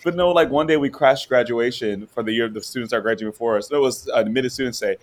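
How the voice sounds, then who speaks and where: A law professor guest talking casually and animatedly in an interview, often laughing and checking in with "right?". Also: male voice, medium pitch, quick pace.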